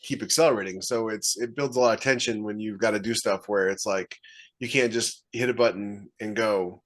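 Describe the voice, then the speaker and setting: A man's voice: on the low side, fairly quick, a bit bright. A man co-hosting a writers' podcast over a video call, explaining his ideas conversationally. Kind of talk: casual panel discussion.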